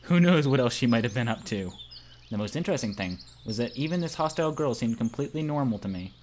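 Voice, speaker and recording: Man narrating a visual novel, mid pitch. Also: lively intonation, fast, slight background noise. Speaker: narrator in a group playthrough. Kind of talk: amateur read-aloud narration of a visual novel.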